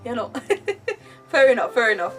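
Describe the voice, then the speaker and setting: A woman live streamer giving casual, animated commentary on a game, thinking aloud in a giggly, chatty way. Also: fairly high pitch, normal speed. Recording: slightly distant mic, faint game music. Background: faint game music.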